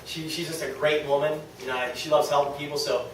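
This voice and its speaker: Man, New England accent, slightly high-pitched, conversational group talk, self-deprecating, heavily reverberant room, faint noise. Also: fairly even intonation, brisk. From New England, United States. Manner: conversational, self-deprecating, often checks in with listeners. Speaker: speaker at a direct-sales team meeting. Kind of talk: informal motivational talk to a small group. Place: echoey indoor meeting room with an audience. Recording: very echoey room, distant mic, faint noise.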